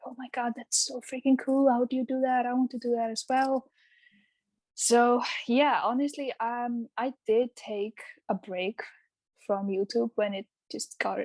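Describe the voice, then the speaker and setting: A woman's voice: on the high side, darkish tone, no room sound, rough recording. A young woman from Iceland, talking casually as an interview guest, chatty and full of ums and restarts. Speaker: guest YouTuber.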